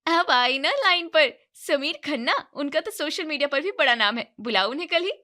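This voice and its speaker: Woman voicing a sharp, greedy young daughter. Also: sits high, expressive, normal speed, pitched above the performer's own voice. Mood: demanding. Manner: blunt, sarcastic, demanding. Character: sharp, greedy, money-minded young daughter, scornful and insistent. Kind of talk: moral-story cartoon voice acting.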